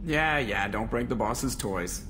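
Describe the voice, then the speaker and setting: An actor playing a cocky, wisecracking male pilot in a video game, sarcastic and quick. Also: mid-range voice, animated, a bit bright, a little hiss or hum. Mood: sardonic.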